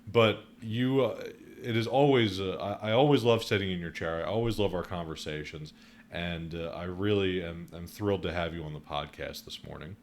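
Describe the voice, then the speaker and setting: A man's voice: low-pitched, moderate pace, a little hiss or hum. A man hosting a local podcast, chatting casually with a guest and heaping praise on him. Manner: friendly, effusive with praise, some restarts. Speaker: podcast host. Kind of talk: casual interview chat.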